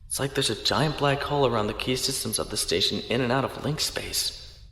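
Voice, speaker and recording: An actor playing a dutiful young naval officer who talks with wry, sardonic asides. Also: male voice, mid-range voice, fairly quick, gravelly, fairly bright tone, slight background noise. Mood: tense.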